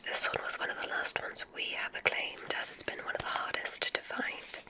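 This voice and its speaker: A woman performing a witch examiner ASMR roleplay in a breathy whisper, with a gentle, soothing, deliberate and encouraging manner.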